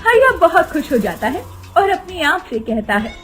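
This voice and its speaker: A woman narrates a children's moral story in a high, expressive voice. Her pitch rises and falls in lively swings, keeping a soft storytelling manner. Faint background music runs underneath. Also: normal speed.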